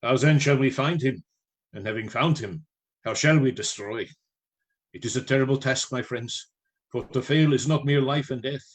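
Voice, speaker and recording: A man playing a learned, commanding vampire hunter in a radio play, with formal, deliberate oratory. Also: mid-range voice, lightly acted. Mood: grave, commanding.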